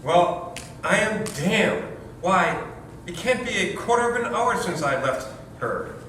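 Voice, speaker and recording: A man acts out a blustering, bewildered new father from an old stage farce. His voice is bright and forceful with very lively swings of pitch, full of indignant exclamation. He is heard from far off in a reverberant room. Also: takes it slowly, heavily acted. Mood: astonished. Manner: exclamatory, indignant, mildly swearing. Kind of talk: readers theater comedy acting. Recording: echoey room from far off, faint noise.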